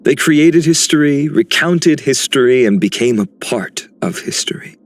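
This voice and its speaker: A man playing an earnest, resolute young warrior in a video game, with a husky voice. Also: medium pitch, animated, unhurried. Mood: respectful resolve.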